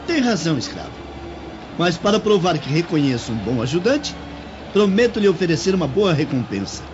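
A man's voice: fairly high pitch, sing-song, a little fast. An actor playing a proud, condescending young Roman nobleman in a radio drama, haughty and exclamatory. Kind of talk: theatrical radio drama acting. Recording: dull recording, noisy outdoor arena ambience.

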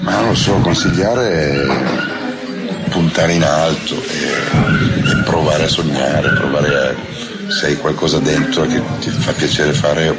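A man's voice: extremely low pitch, steady tone, slow. An older man, interviewed, reflecting thoughtfully and giving advice. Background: loud music.

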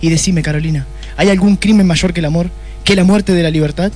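Greedy, melodramatic detective boss, played by a man, Argentine, higher-pitched, florid one moment and crude the next, comic radio play. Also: fairly even intonation, brisk, a little mellow, soft background music, natural voice. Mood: brooding, pompous. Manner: pompous, florid speeches mixed with crude slang.

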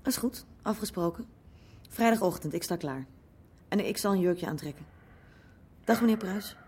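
An actress playing a plucky young woman, a sister, in a radio play, talking in a brisk and eager way. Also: medium pitch, darkish tone, slightly rough, close to an everyday voice.

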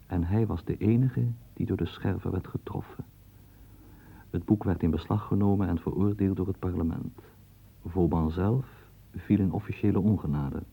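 A man narrating a historical radio program in a measured, ironic storytelling manner. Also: low-pitched, creaky, slightly rough. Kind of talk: scripted documentary narration. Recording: tinny phone-like old broadcast.

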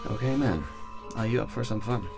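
A man's voice: on the low side, normal speed, creaky, music in the background. An actor playing a blunt, frustrated would-be lover in a radio drama, a man with slangy, sneering talk. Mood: pushy, coaxing. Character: crude, pushy, frustrated male would-be lover.

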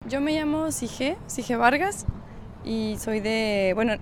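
A woman's voice: fairly high pitch, slow, noisy background. A woman from the northern Mexican borderlands with a northern Mexican accent, speaking spontaneously as an activist interviewee and often feeling for her words. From Mexicali and the Sonora-California borderlands of northern Mexico. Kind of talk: spontaneous spoken testimony.

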